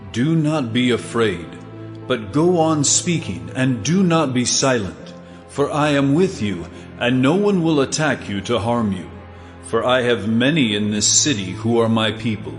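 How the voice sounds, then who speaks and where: A man acts as a calm divine Lord in a dramatized Bible, mid-pitched and solemn. He speaks in a measured, reassuring way over faint music. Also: lightly acted. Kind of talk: dramatized audio Bible acting.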